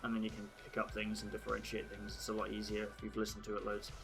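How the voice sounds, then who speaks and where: A man's voice: on the low side, monotone, quick pace, over music. A young British man with an occasional Australian twang, hosting a livestream and chatting casually with viewers, thinking aloud with lots of "like"s. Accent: British, with occasional Australian words. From Britain. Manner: chatty, laughs at his own jokes, thinks aloud with many "like"s. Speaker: livestream host.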